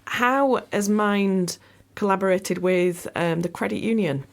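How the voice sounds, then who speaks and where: A woman with a medium-pitched voice works as a radio interviewer. She is conversational and reflective, building gradually towards her questions. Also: measured pace.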